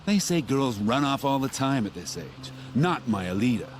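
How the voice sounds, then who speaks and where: Man playing distraught middle-aged game father, halting delivery, very animated pitch, faint background noise. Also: close to an everyday voice. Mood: desperate. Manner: anguished, halting, emphatic. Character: distraught middle-aged father searching for his missing daughter. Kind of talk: video game character acting.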